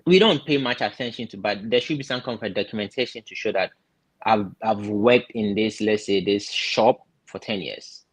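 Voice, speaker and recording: A man from Ghana giving casual step-by-step advice, often pausing and repeating words as he thinks. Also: lowish voice, husky, rough recording. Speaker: guest explaining visa steps.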